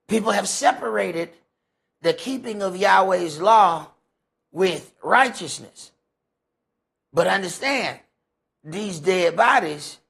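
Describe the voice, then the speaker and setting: An American man leading a Bible study, preaching off the cuff in an emphatic way with repeated phrases and rhetorical questions, his voice rough and raspy. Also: sits high, fairly bright tone. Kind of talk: sermon, extemporaneous teaching.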